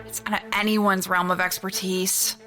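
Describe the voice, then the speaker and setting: A woman's voice: mid-range voice, little pitch movement, moderate pace, sharp tone, faint music behind, close to an everyday voice. A woman playing an earnest, slightly exasperated rebel mechanic in a motion comic. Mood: exasperated, wry. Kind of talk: dramatic motion comic acting.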